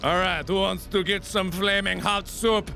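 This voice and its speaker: A man hosting a let's play, commenting on gameplay with sudden loud outbursts and mumbled asides. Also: high voice, steady tone, unhurried, creaky, noisy background. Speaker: gaming video host. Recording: game music behind the voice. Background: game music.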